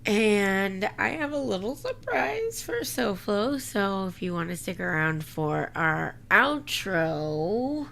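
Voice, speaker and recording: A woman's voice: mid-range voice, sing-song, takes it slowly, vocal fry, hardly any pauses, a bit bright. A woman hosting a casual live chat show, with a giggly, slangy way of talking. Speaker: live panel show host. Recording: faint background noise.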